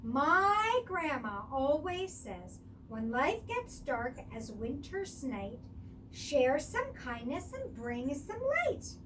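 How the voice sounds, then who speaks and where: Lady doing sweet encouraging girl critter in a kids story, high voice, bit far from mic. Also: sing-song, slow pace, a bit bright, a little hiss or hum, full character voice. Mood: reassuring. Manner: hopeful, reassuring. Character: kind, encouraging young female friend animal. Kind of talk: storybook character voice.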